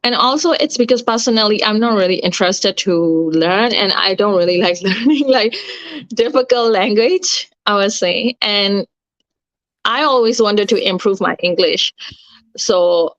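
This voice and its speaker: A woman's voice: mid-range voice, unhurried, no room sound. A woman, chatting as an interview guest and searching for words with many fillers. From Myanmar. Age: 30s. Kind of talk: casual interview chat. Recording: dull, slightly lo-fi sound.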